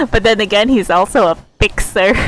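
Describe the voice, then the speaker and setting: A woman's voice: on the high side, slight background noise. A woman streaming a game with casual commentary, musing to herself and reading dialogue aloud. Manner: musing, talking to self.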